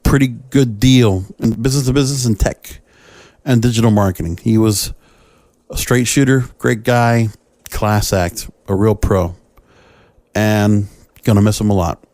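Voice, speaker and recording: A man's voice: on the low side, fairly even intonation, unhurried, a little mellow, dry recording. Man hosting a solo podcast, close dry sound. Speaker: podcast host.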